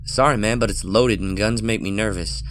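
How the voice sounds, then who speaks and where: A man's voice: on the low side, normal speed, a bit bright, a little hiss or hum, natural voice. A man playing an easygoing, skeptical young fraternity pledge, speaking casually in an audio horror drama. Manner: casual, unbothered.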